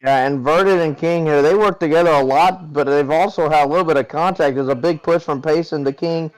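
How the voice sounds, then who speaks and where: Male play-by-play caller, smooth, chatty delivery. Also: on the high side, brisk, rough recording. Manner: chatty, conversational race calling. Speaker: play-by-play commentator. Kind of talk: sim racing broadcast commentary.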